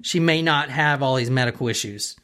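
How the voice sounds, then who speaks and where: A woman's voice: very deep voice, fairly quick, a bit bright. Conversational true-crime storyteller, a woman. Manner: explanatory, often checks listeners follow. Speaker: podcast host telling a true-crime story.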